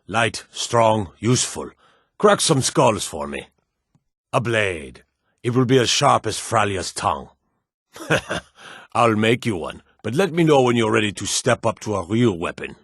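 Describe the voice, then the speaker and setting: An actor playing a gruff, proud old blacksmith in a fantasy video game, with a husky, gravelly voice and a measured, plain-spoken delivery. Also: male voice, on the low side, very animated, a bit bright, no room sound, clean studio sound, exaggerated voice. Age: older. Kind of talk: fantasy game character dialogue.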